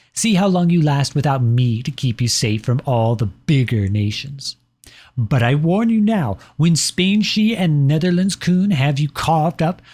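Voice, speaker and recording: A man's voice: mid-range voice, darkish tone, natural voice. A man playing a pompous, jilted personification of Britain in a comedic audio roleplay, reading formal letters with petulant indignation.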